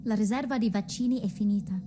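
A woman's voice: medium pitch, fast talker, background noise, natural voice. An actress playing a firm, stubborn country woman who speaks bluntly and reproachfully in a theatrical radio play. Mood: stern reproach.

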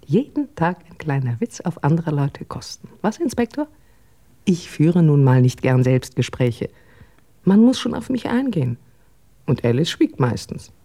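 A woman's voice: low voice, big pitch swings, moderate pace, dark tone, full character voice. A woman playing a chatty, self-mocking ageing stage actress in a radio drama, wry and theatrical in manner. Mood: chatty, biting. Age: older.

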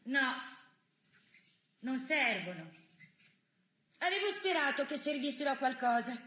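A worn, steadfast woman held prisoner in a stage drama. She speaks in a fairly high voice, very slowly and solemnly, measuring out each phrase with gentle resolve. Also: big pitch swings, full character voice. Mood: weary, sober. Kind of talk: stylized stage theatre monologue and dialogue.

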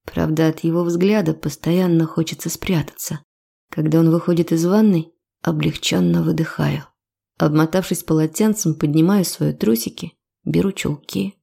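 A woman narrates a novel in the first person. Her voice is low and mellow, with a gravelly creak running through it. The delivery is a scripted audiobook reading. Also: fairly even intonation, moderate pace.

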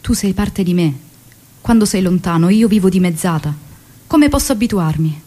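Devoted, longing wife, slightly husky. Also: female voice, lowish voice, lively intonation, moderate pace, dark tone. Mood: anxious longing. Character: Aleida, devoted, longing young wife of a revolutionary. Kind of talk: theatrical radio drama monologue and dialogue.

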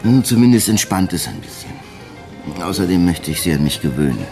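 An actor playing a brusque, easily riled police detective inspector in a radio crime drama, with an informal, blunt manner. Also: male voice, low-pitched, very animated, normal speed, music in the background. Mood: relaxed, wry.

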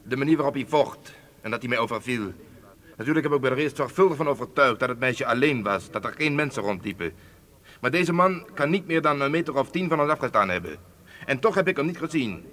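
A man acting a French hitman in a radio drama, polite to his employers and cold-blooded. He reports in a formal, matter-of-fact way at a middle pitch. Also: expressive, brisk, fairly bright tone, lightly acted.

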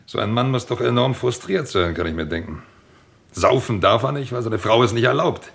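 Persuasive, talkative investigator's sidekick in radio crime play; deep voice. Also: male voice, gravelly, fairly bright tone. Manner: talkative, persuasive, builds arguments step by step. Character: insistent, theorizing male colleague of a detective. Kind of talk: German radio crime drama acting.

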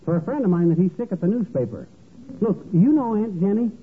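A man's voice: high-pitched, animated, a little fast, narrow, tinny audio. A man playing a boyish, bragging, folksy American husband in a 1940s radio comedy. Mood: cocky, self-important. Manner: blustering, folksy, slangy. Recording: scratchy, noisy, band-limited old radio recording.

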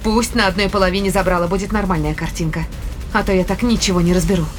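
Brisk, commanding space crewwoman, fast-talking actress playing her. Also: mid-range voice, steady tone, soft background music, close to an everyday voice. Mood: urgent, commanding. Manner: urgent, clipped orders. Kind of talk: sci-fi audio drama acting.

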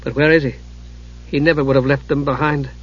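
A man's voice: medium pitch, level tone, fairly quick, breathy edge, lo-fi sound, close to an everyday voice. Man as an earnest, anxious young mage. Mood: worried. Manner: soft, earnest, uncertain.

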